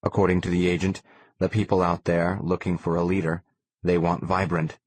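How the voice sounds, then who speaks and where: Guy reading an audiobook novel, really low voice, super dry sound. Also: little pitch movement, normal speed, darkish tone, no echo. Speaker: audiobook narrator. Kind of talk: scripted first-person novel narration.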